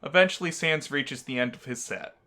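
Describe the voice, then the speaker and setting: A man narrating a visual novel aloud as a gaming host, with expressive, theatrical storytelling. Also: medium pitch, brisk.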